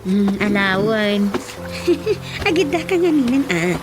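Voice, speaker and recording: A woman's voice: mid-range voice, very animated, slow pace. A woman playing a fretful, teasing village wife in an Ilocano radio comedy drama, drawn out and dramatic. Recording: radio drama with prominent music behind.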